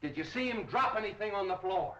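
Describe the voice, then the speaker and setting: An actor playing a brusque, impatient, sarcastic police detective in a 1930s film. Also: male voice, sits high, animated, fairly quick, a bit bright. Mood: insistent, brisk. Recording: dull 1930s film soundtrack.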